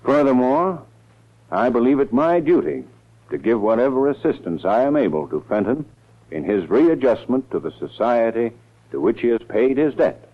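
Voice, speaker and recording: An actor playing an ambitious, wary district attorney in a 1940s radio drama, with an American accent and an emphatic manner. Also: male voice, mid-range voice, sing-song, normal speed. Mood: formal, reading. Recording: muffled 1940s radio recording.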